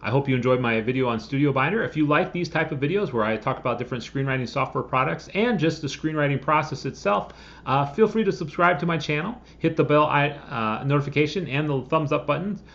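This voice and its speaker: A man's voice: fairly high pitch, fast, vocal fry, fairly bright tone. A man in his forties giving a casual, explanatory review to camera as a video host, recorded in a room at home. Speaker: host of a screenwriting software review channel. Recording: slightly dull webcam audio.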